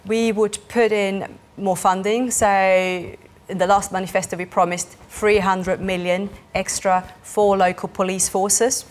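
A woman in her thirties from Switzerland, speaking with a Swiss German accent, answering interview questions as a parliamentary candidate in an animated way, recorded in a small studio. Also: medium pitch, measured pace. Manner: animated, gestures while talking, many fillers. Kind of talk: political interview.

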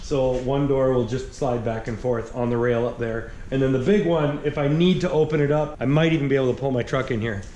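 A man's voice: mid-range voice, fairly quick, hardly any pauses, warm tone, slight background noise. A man in his thirties hosting a casual workshop vlog, talking upbeat while he works in a large garage shop, picked up by an action camera. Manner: chatty, upbeat, talks while working. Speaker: woodworking channel host. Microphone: action camera on body or nearby. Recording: echoey garage with music behind.

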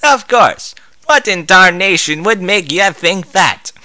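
Man putting on a high-pitched southern farm gal. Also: lively intonation, slow pace, bright, forceful tone, dry recording, exaggerated voice. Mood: reassuring. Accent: put-on American Southern. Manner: blunt, affectionate. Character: folksy, frank southern farm mare, reassuring wife.

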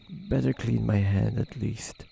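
A man performs a male nine-tailed fox spirit for an ASMR roleplay drama. His voice is very mellow and dark, with plenty of gravelly fry. He speaks slowly and haltingly, wary and proud yet easily flustered. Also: on the low side, a little hiss or hum, exaggerated voice. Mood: weary, pained. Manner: hesitant, halting, muttering to himself.

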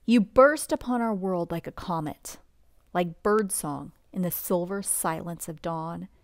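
A woman reads a bedtime story very slowly, in a slightly low voice. Her delivery is gentle and expressive, shaped for a picture book read-aloud. The sound is close and dry. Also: no echo.

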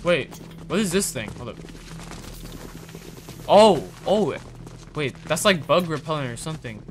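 A man improvising informal roleplay chat in a video game, reacting in an animated way. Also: fairly high pitch, measured pace, a little hiss or hum. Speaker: roleplay video host and player.

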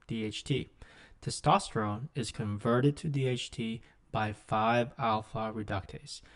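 A middle-aged man, a physician lecturer, reads a scripted medical lesson in a measured, instructional manner into a close microphone. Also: mid-range voice.

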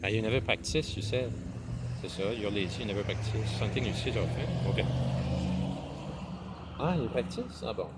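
A man in his fifties with a Quebec French accent, a native French speaker who rambles in a self-mocking way and mixes French words into his English. He films himself outdoors with a phone held low at arm's length. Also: normal speed, pauses often. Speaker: vlogger. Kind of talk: improvised comedy vlog, talking to an imagined partner. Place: outdoors by a stone wall under trees. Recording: outdoor noise and passing traffic.

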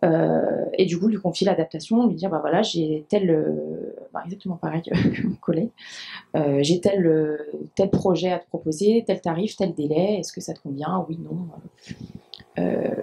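A woman in her thirties speaks on a panel in a university lecture hall, holding the mic in her hand. Her voice is mellow and a little gravelly. She talks in a hesitant way, with many fillers between her words. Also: lowish voice, moderate pace. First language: French. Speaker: dubbing adapter speaking on a panel. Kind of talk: conference panel talk. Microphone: handheld mic.

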